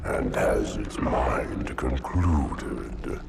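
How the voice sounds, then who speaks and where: An actor playing an ancient, monstrous parasitic hive mind in a game, guttural and grandly ominous, making slow pronouncements. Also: male voice, extremely low pitch, lively intonation, very noisy, heavily acted. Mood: menacing, grand. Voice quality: guttural, gravelly. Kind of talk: video game cutscene acting.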